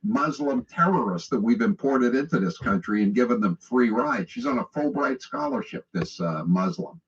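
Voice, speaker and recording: A man's voice: medium pitch, very animated, normal speed, vocal fry, far from the mic. An American man delivering an opinionated, sarcastic radio monologue in a mocking, conversational way. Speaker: political talk radio host. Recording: dull lo-fi radio relay.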